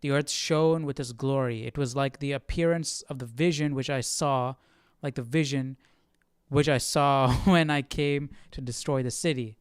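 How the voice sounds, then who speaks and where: A man's voice: mid-range voice, smooth, no echo, studio quality. A man hosting a livestream Bible study with casual, reflective commentary, thinking aloud and often checking in with his listeners.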